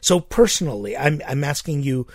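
A man's voice: fairly high pitch, animated, normal speed, dry recording, studio quality. A male host asking long, personal questions and affirming his guest's answers. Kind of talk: interview hosting.

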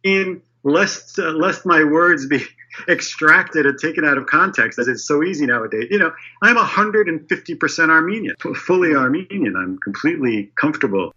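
A man's voice: fairly high pitch, lively intonation, normal speed, pushed and bright. A man in his fifties, a church leader, answering interview questions thoughtfully, with frequent restarts and light laughs. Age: 50s. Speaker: primate of a diocese. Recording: slightly dull lo-fi audio.

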